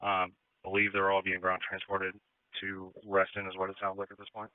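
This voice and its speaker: A police officer at a scene, giving measured, matter-of-fact updates over the radio. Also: male voice, deep voice, monotone, smooth, no room sound, narrow, tinny audio.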